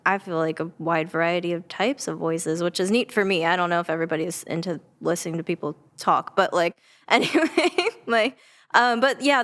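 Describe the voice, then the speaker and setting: Woman, mid pitch, clean clear voice, tentative casual podcast guest. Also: fairly bright tone. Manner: giggly, informal, tentative. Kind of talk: casual chat.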